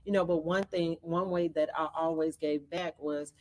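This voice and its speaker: A soft-spoken middle-aged woman telling stories as a talk show guest, halting and restarting, breaking into laughs. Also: deep voice, fairly even intonation. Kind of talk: casual storytelling interview. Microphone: video call.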